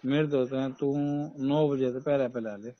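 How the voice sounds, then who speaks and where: A man's voice: mid-range voice, fairly even intonation, moderate pace, no room sound. A man with a Haryanvi accent speaking as an official in a casual, insistent phone conversation, talking in roundabout hints. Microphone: phone call recording. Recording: dull lo-fi recorded phone call.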